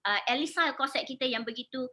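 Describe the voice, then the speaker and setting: High, bright-voiced Malaysian saleswoman. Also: quick pace. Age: 40s. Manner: emphatic, repetitive, addresses listeners with frequent questions and check-ins. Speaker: product presenter and sales promoter. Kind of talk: informal live sales presentation.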